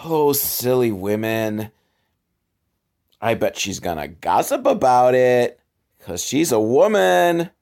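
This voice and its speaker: Sarcastic guy riffing casually on old films, swears a lot, medium pitch. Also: sing-song, slow pace, vocal fry, a little mellow. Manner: sarcastic, profane. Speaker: host reviewing old educational films. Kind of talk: casual comedic commentary.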